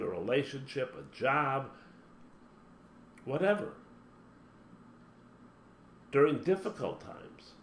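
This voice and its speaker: A man's voice: medium pitch, roomy sound. Man, spiritual teacher, motivational talk, emphatic lists, faint background hum. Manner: emphatic, lively delivery with long spoken lists.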